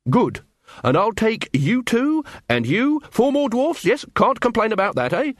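Guy doing a eager upbeat watch officer for an audiobook, bossy but cheerful, super animated. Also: high-pitched, no room sound, studio quality, pitched above the performer's own voice, full character voice. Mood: brisk, cheerful. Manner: brisk, cheerful, commanding. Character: earnest, keen, commanding young watch corporal.

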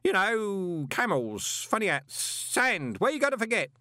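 A man voicing a loud, hearty elderly shopkeeper who bellows everything with no sense of volume. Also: big pitch swings, a little slow, no echo, clean studio sound, heavily acted. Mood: bellowing. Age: older. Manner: bellowing, booming, no volume control.

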